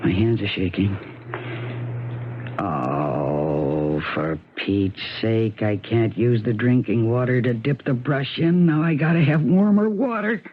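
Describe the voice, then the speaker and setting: A woman's voice: very deep voice, takes it slowly, a little hiss or hum. A woman playing a distraught, weary mother and actress, rambling and self-mocking in a theatrical 1970s radio drama style. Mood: fretful, tearful. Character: distraught weary actress mother recording her suicide tape. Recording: muffled old radio broadcast with music.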